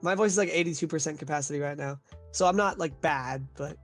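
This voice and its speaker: A man's voice: on the high side, fast. Male live-stream host, upbeat and loose, voice still recovering from illness, faint music behind. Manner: upbeat, uses "like" a lot. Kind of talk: casual chat on a live stream.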